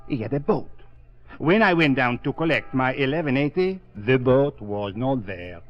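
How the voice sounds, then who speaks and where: An actor playing a broke, fussy, theatrical French painter with a French accent in an old-time radio drama. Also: male voice, medium pitch, lively intonation, normal speed, lightly acted. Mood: chatty, disgusted. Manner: hesitant, exclamatory, peppered with French phrases. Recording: dull vintage radio recording.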